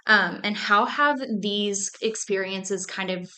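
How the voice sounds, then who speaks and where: A woman's voice: mid-range voice, takes it slowly, sharp tone. A young woman hosting an interview, chatty and upbeat with lots of filler words. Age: young adult. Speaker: interviewer, host. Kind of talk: casual interview chat.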